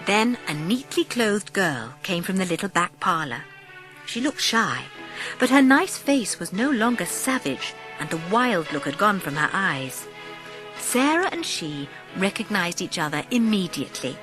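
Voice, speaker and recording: Animated woman storyteller over music. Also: mid-range voice, unhurried, poor audio. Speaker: audiobook narrator. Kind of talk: dramatic scripted storytelling.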